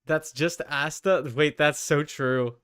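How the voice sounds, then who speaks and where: A man's voice: a little high, steady tone, slow pace, fairly bright tone, clear, resonant tone, no room sound, clean studio sound. A man chatting casually and swearing as he livestreams while setting up a game character. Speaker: streamer.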